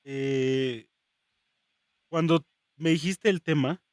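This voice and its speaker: A man's voice: on the high side, drawn-out words, a little mellow, no room sound, clean studio sound. A man from Mexico hosting a podcast, chatting in a friendly, upbeat way with his guest. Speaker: podcast host.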